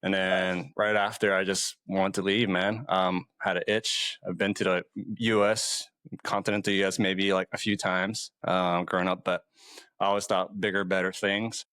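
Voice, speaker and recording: Laid-back deep-voiced male podcast host. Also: level tone, moderate pace, a bit bright, smooth, no room sound. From Hawaii. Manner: laid-back, says "man" and "like" often. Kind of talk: casual interview chat.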